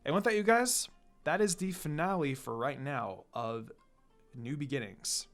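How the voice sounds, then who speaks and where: An expressive reader and channel host narrating anime fan fiction in a casual, storytelling style. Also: medium pitch, measured pace. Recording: background music.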